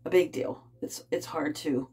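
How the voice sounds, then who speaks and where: Low-voiced woman in her fifties, rambling. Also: steady tone, warm tone, husky. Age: 50s. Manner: rambling, halting, many fillers. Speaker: vlogger. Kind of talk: casual personal vlog. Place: small bathroom, in a bathtub. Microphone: phone held close.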